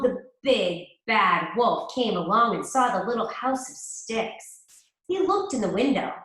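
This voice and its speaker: A woman teacher reading a fairy tale aloud to children, slowly and expressively. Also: medium pitch, fairly bright tone. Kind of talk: read-aloud storytelling. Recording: echoey room.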